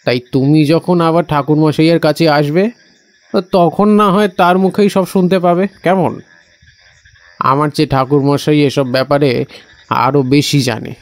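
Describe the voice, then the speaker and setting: A man playing an evasive village local who knows dark secrets, talking hesitantly and coaxingly in an audio horror story. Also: a little high, moderate pace, dry recording, natural voice.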